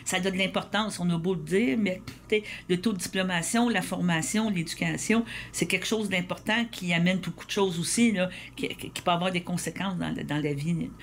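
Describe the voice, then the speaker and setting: A woman's voice: fairly low pitch, brisk, few pauses. A woman with a Québécois accent hosting a convivial radio interview, chatty and rambling, often repeating words. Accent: Québécois French. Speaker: radio host. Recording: community radio with faint noise.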